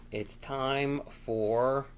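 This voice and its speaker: A man hosts a children's storytime in a casual, playful chat. He speaks slowly at a medium pitch, rambling a little as he goes. His manner is encouraging and aimed straight at young listeners. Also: a little hiss or hum.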